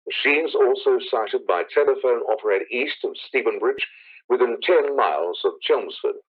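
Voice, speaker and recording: A man's voice: mid-range voice, close to an everyday voice. A man playing a grave radio news announcer in a 1930s-style play, formally reading emergency bulletins. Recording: tinny, phone-like radio broadcast sound.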